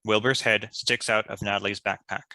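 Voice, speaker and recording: Casting: male scripted narrator, crisp bright tone, slightly low, dry recording. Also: little pitch movement, moderate pace, no echo. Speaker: stage-direction narrator.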